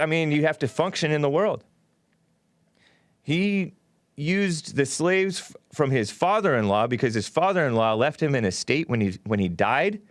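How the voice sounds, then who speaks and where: A male call-in host talks offhand and chatty in a dry, close studio sound. His pitch sits at a middling level, and he often laughs at his own remarks. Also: no room sound. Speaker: talk show host. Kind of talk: casual call-in radio talk.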